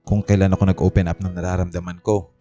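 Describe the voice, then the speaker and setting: A man plays a young Filipino man in a radio drama, hurt and sullen. His tone is dark and mellow, with faint piano music underneath. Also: low voice, level tone, fast, natural voice. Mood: hurt, resentful.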